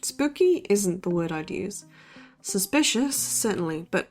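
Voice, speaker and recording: An actress playing a skeptical, dry-witted college student co-hosting a true-crime podcast in an audio drama. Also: female voice, mid-range voice, expressive, unhurried, a little mellow, faint music behind. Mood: skeptical, wry. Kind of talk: conversational audio drama acting as podcast co-host.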